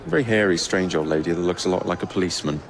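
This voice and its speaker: A man's voice: low-pitched, racing, background noise, lightly acted. A man playing a cocky, flippant young English rogue in a video game, with a sardonic, breezy manner. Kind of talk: video game cutscene acting.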